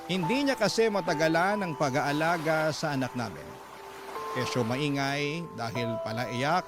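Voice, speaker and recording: A man's voice: fairly high pitch, moderate pace, lightly acted. A man reading an aggrieved young mother's letter as radio drama narration, confiding and sardonic. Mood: confiding, resentful. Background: loud background music.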